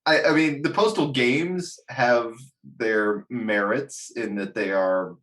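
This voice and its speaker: A man's voice: mid-range voice, takes it slowly, fairly bright tone. A man hosting a casual discussion about films and games, speaking bluntly and wryly with some swearing. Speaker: podcast host. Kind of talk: casual film and game discussion chat.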